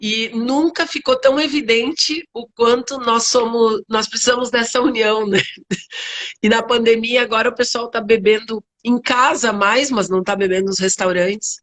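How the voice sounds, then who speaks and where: A woman with a southern Brazilian accent, a guest on an online interview. She speaks in a lively, chatty way and laughs easily. Her voice sits fairly high. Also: moderate pace. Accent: Southern Brazilian (Gaúcho) Portuguese. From Serra Gaúcha, southern Brazil. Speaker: guest winemaker. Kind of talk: conversational interview.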